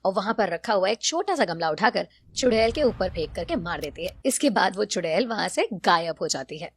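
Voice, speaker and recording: A woman's voice: mid-range voice, animated, fairly quick, a little hiss or hum. A woman narrating a scripted Hindi cartoon story as the storyteller.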